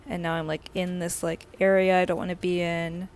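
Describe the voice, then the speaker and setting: A young woman, slightly hoarse, telling a rambling casual storytime full of "like" fillers. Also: lowish voice, flat delivery, brisk, a little mellow. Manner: rambling, heavy use of "like" fillers. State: slightly hoarse from a sore throat. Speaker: vlogger.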